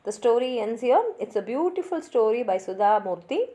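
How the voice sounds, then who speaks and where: A woman with a fairly high voice presents a scripted literature lesson. Her intonation is very animated, rising and falling widely as she narrates the summary for learners. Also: normal speed.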